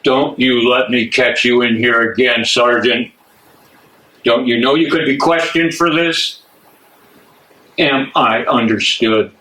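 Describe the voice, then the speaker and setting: An actor playing a scornful, menacing Nazi prison major in a play reading, barking and mocking. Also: male voice, mid-range voice, steady tone, slow pace, long pauses, bright, forceful tone, rough recording. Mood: stern, angry. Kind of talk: dramatic reading of a stage play.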